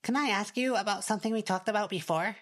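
A man's voice: high voice, fast, a bit bright, voice pushed up. A man voicing a small, eager, unsure girl animal familiar in an audiobook, with a childlike, questioning manner.

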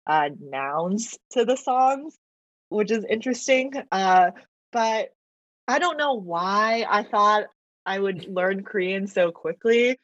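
A woman whose first language is Mandarin, chatting as a podcast guest, talkative and quick to laugh, with lots of fillers. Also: medium pitch, expressive, no room sound. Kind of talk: casual interview chat. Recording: dull lo-fi dry recording.

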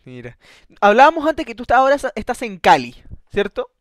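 A man's voice: extremely high pitch, wildly swooping pitch, brisk, fairly bright tone, no room sound, clean studio sound. A man hosting a radio interview, chatty and easygoing, often stumbling and restarting while tacking on questions like "right?". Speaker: radio show host. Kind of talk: casual interview.

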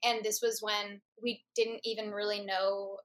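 A woman's voice: mid-range voice, level tone, unhurried, a bit bright. A woman in her twenties from Maryland, a student guest chatting playfully. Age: 20s. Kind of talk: casual chat.

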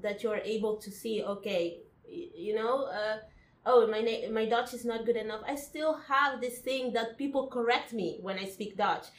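A woman with a Spanish accent talks on a podcast, animated and full of quick restarts. Her voice sits a little high and rings in an echoey room. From Spain. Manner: animated storyteller, frequent restarts and laughs. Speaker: guest coach. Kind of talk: casual podcast conversation.

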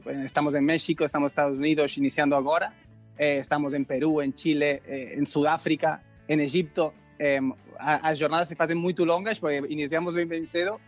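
Higher-pitched Spanish-accented man, halting delivery. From Barcelona, Spain. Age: 30s. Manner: halting, searching for words in a second language. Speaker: podcast guest, company founder. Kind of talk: casual interview answers.

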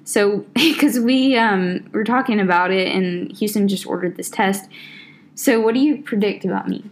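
A woman's voice: mid-range voice, normal speed. Chatty woman, lots of "like". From Southern United States. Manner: rambling, full of "like" fillers. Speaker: podcast co-host. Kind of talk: casual chat.

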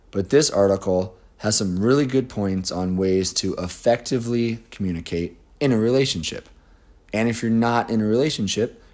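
A man co-hosting a casual podcast, his voice raspy and hoarse, speaking in an earnest, measured way. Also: fairly low pitch, darkish tone. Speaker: podcast co-host. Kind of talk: casual chat.

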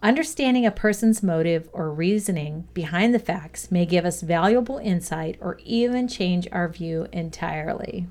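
A woman with a fairly low voice narrates an educational script. She reads aloud in a measured, deliberate manner, placing each phrase with care. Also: animated, darkish tone. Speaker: reader of a values lesson.

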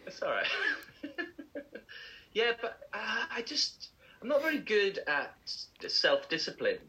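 A man co-hosting a casual show, animated and theatrical, laughing easily, heard over a video call. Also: high voice, takes it slowly, rough recording. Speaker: co-host. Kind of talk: casual chat.

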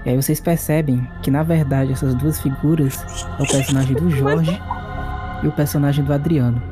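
A man, narrating a tabletop horror-comedy game as game master in a relaxed way. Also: on the high side, normal speed, music in the background. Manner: relaxed, laughs easily.

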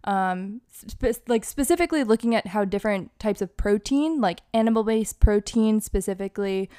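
A woman's voice: mid-range voice, moderate pace, dark tone, smooth, no echo. Chatty twentysomething woman, dry sound. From Massachusetts and Hawaii, US. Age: 20s. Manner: chatty, giggly, self-deprecating, with many fillers. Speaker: podcast co-host. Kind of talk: casual chat.

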